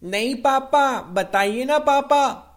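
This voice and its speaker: A woman's voice: high voice, expressive, takes it slowly. A woman voicing a young, pleading child in a Hindi cartoon bedtime story. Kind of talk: Hindi animated cartoon dialogue.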